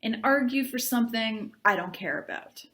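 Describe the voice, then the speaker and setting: Woman as Elizabeth, a deadpan reluctant schoolgirl. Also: fairly high pitch, normal speed.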